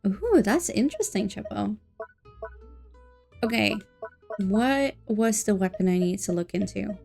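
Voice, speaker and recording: A woman livestreaming a game, chatting casually and playfully with expressive exclamations and silly filler words, game music behind her. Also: mid-range voice, measured pace, gravelly. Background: faint video game music.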